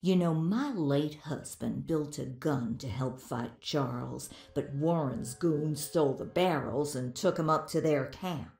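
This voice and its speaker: Low, creaky, wry older widow. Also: female voice, unhurried, hardly any pauses, a little mellow. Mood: wry, resentful. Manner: wry, blunt, folksy. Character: tough, wry older widow of a gun builder. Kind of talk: video game character dialogue. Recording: roomy echo.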